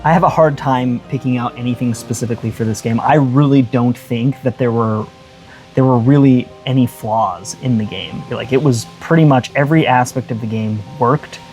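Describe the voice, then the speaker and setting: A man's voice: mid-range voice, moderate pace, darkish tone, faint music behind. A man co-hosting a game review show, speaking conversationally in an earnest, emphatic way.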